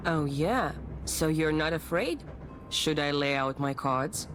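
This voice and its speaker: A mysterious fortune-teller in a detective visual novel. She is self-assured, coaxing and teasing, with a lowish female voice over prominent music. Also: lively intonation, slow pace, rough recording.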